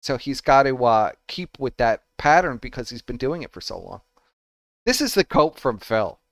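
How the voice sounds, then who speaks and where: A man's voice: medium pitch, sing-song, normal speed, creaky, no echo. A man hosting a livestream, giving sarcastic, scornful commentary into a close microphone. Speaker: host.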